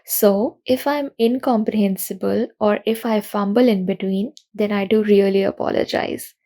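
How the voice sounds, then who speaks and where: Creaky-voiced young woman giving a tech talk. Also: mid-range voice, moderate pace. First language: not Russian. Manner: earnest, steady explanatory delivery. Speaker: conference speaker. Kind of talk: recorded technical talk.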